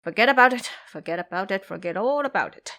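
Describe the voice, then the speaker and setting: A woman's voice: medium pitch, expressive, fast talker, sharp tone. A woman voicing a cowardly, lazy male pig-man who blusters and complains in an audio drama. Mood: resigned. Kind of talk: audio drama character acting.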